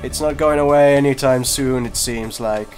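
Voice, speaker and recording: A man with a congested, cold-stuffed voice rambles over his gameplay at an ordinary middle pitch. He talks casually and pokes fun at himself, often trailing off or restarting his sentences. Faint game music plays quietly behind his desk microphone. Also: normal speed. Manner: chatty, self-deprecating, breaks off mid-sentence. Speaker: gaming video host.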